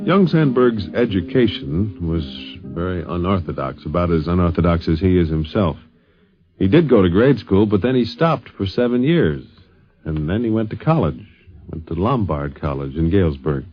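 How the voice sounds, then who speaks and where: A man's voice: low-pitched, animated, moderate pace, husky, soft background music. A man reading scripted narration for a radio documentary, on a dull 1950s radio recording.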